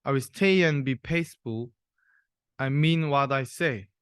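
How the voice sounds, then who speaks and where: Unhurried young man reading a storybook. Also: mid-range voice, fairly bright tone, clean tone, no echo, studio quality. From South Korea. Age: young adult. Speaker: university student reader. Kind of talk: reading a rhyming children's storybook aloud.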